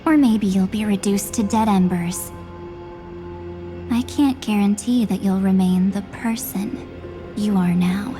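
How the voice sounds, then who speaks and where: A woman voicing a solemn, enigmatic young woman in a video game, speaking deliberately and portentously. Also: mid-range voice, lightly acted. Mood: cryptic, solemn. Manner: slow, deliberate, portentous delivery. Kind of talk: dramatic video game voice acting. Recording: under clear background music.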